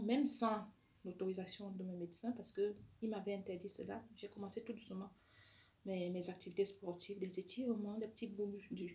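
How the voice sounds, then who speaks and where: A woman's voice: medium pitch, dark tone. A woman vlogger talking earnestly in a personal monologue, often restarting her phrases. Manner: earnest, testimonial, with frequent restarts. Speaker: health vlogger sharing her story.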